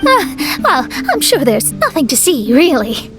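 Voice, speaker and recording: Self-important young astrologer, game character, high animated voice, easily flustered, background music. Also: female voice, a little slow. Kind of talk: anime-style game voice acting.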